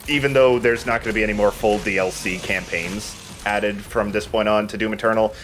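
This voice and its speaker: A man's voice: lowish voice, fairly even intonation, a little fast, a bit bright, faint music behind. A man giving casual, chatty gaming commentary to a friend as he plays. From United States. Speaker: let's play host playing the game.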